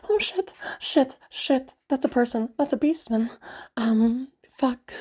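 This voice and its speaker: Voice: high voice, animated, slow, a little mellow, slightly rough, pitched above the performer's own voice, exaggerated voice. A performer voicing a proud, frustrated young woman inventor out hunting, muttering to herself with sighs and swearing in a dramatic audio roleplay. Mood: panicked. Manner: muttering to self, sighing, sweary self-argument.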